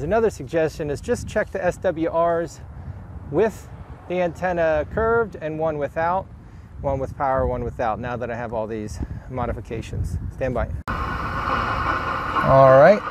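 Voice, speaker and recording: A man's voice: fairly high pitch, normal speed, slight background noise, low-quality recording. A man giving a casual, plainspoken hands-on how-to narration as he works on a project. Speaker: DIY video creator.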